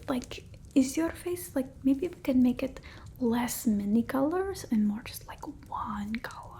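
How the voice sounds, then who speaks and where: A woman's voice: high voice, creaky, a little hiss or hum, full character voice. A woman voicing a fussy, condescending female vampire in ASMR roleplay, mostly whispered, close to the mic. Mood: pitying, condescending. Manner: halting, fussy, gently bossy, blunt. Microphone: close ASMR microphone.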